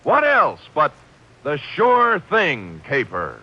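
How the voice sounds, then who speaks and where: A wisecracking American private eye from a 1940s radio drama, his pitch leaping about as he talks. He is glib, wry and mock-grandiose, heard through a dull vintage recording. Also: huge pitch swings, slow pace, loud music behind the voice, full character voice. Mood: wry, animated. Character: sharp-tongued, wisecracking male private detective.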